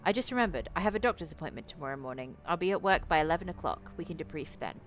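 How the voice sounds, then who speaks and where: Woman playing a weary male shop worker, low voice, matter-of-fact, visual novel dialogue, music behind. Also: lively intonation, very fast.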